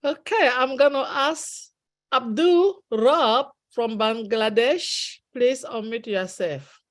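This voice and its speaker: Lady hosting an online call, fairly high voice, really slow pace. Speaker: webinar moderator. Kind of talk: unscripted call moderation. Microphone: online video call.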